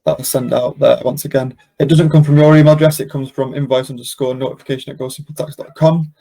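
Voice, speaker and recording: Guy doing a software demo webinar, creaky mellow voice, kinda hesitant step by step explaining. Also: medium pitch, fairly even intonation, fast talker. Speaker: webinar presenter and software demonstrator. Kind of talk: live software demo with spoken Q&A.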